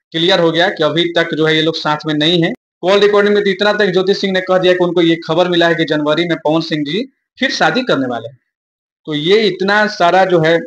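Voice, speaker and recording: A man's voice: on the high side, a bit bright. A young man giving informal news commentary to viewers in an emphatic, repetitive explaining manner. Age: young adult.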